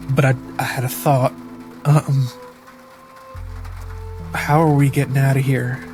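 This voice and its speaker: A man roleplaying casually as a player in a tabletop game, in a halting, dry manner. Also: medium pitch, mellow.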